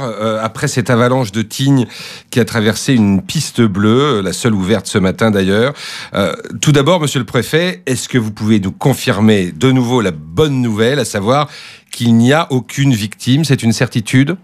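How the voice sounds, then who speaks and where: A man's voice: mid-range voice, lively intonation, normal speed. A middle-aged man hosting a live news interview, putting questions into a studio microphone. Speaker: radio news host. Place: small radio studio. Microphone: studio microphone on stand, headset monitoring.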